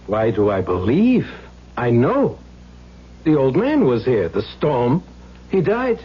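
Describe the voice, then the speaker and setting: A man's voice: mid-range voice, wildly swooping pitch, slow, slightly rough, exaggerated voice. An actor playing a troubled, self-doubting husband in an old-time radio drama, plaintive and given to repeating his words. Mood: weary, plaintive. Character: troubled, self-doubting husband who turns bitter and defiant. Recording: muffled, noisy old radio recording.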